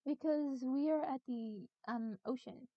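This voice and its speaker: Young female gamer, high voice, offhand reactions, lo-fi voice chat, soft music underneath. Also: a little slow, dry recording. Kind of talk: casual chat while gaming. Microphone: low-quality gaming voice chat. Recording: poor low-quality mic, faint game music.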